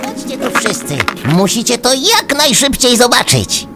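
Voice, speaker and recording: A woman voicing a small, excitable male gnome with an urgent manner in a children's audio play. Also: on the high side, a little slow, over loud music. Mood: urgent, excited. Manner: urgent, animated.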